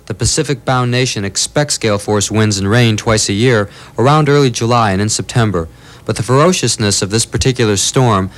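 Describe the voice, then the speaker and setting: A man delivering a scripted television news report in a measured, solemn way. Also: medium pitch. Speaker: news correspondent.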